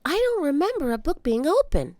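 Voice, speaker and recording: An eager little girl from a children's adventure tale, as a woman reader acts her out. Her voice is high and swoops with childlike enthusiasm. The sound is dry. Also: sing-song, brisk, no room sound. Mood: eager, excited. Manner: bright exclamations, childlike enthusiasm.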